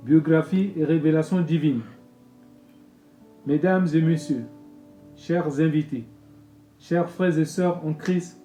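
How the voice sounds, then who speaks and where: A man, a pastor reading his own testimony in a solemn, measured way. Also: a little high, warm tone. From Congo-Brazzaville. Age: 50s. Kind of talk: read personal testimony. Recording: echoey room with music bed.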